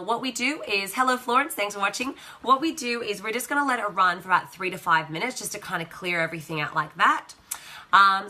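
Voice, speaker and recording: Woman, croaky voice, chatty live demonstration, host, bright forceful tone. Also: mid-range voice. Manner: chatty, greets live viewers, self-correcting asides. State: croaky, partly lost voice. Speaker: essential oil educator hosting a live video.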